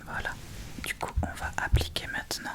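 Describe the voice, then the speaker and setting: A woman giving an informal ASMR makeup tutorial, talking through each step in a hesitant, unhurried way.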